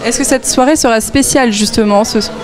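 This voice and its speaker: A woman's voice: fairly high pitch, fairly quick. A woman interviewing for local TV at a concert, asking upbeat, direct questions. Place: concert venue. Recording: crowd chatter at a concert.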